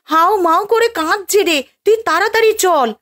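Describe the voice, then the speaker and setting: A woman's voice: very high-pitched, moderate pace, a bit bright. A woman voicing a worried young sibling, anxious and urgent, in a horror cartoon.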